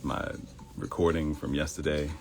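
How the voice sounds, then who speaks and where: A man's voice: very deep voice, fairly even intonation, normal speed, creaky, soft background music. A middle-aged man with a Baltimore accent hosting a live morning show in casual talk, addressing viewers directly and acting out quoted voices.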